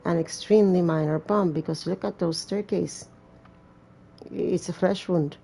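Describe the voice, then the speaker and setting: A woman's voice: on the low side, steady tone, unhurried, gravelly, warm tone, breathy edge. A woman reading a visual novel aloud as a gamer, with casual, irreverent and sweary asides. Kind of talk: let's-play reading with casual commentary.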